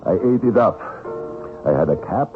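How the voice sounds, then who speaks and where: Through thin old radio sound comes a man acting a brash, penniless Russian vagrant. His deep voice swings widely up and down as he grumbles, wheedles and hems and haws. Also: lively intonation, normal speed, over music, natural voice. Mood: self-pitying. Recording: narrow, lo-fi old radio broadcast.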